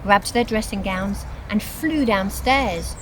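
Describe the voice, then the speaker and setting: A woman reads scripted storybook narration in an expressive, dramatic storytelling manner. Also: mid-range voice, slow, noisy background. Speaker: reader of a children's book.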